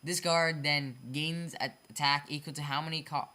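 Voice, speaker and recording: A hobbyist video creator with a sharp, bright voice explains card designs in a casual, unscripted way. They stumble over phrasing, circle back to repeat points and apologize for their own slips. The pitch sits in a middle range. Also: fairly even intonation, moderate pace. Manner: repetitive, self-correcting, stumbles over phrasing; apologetic about own mistakes.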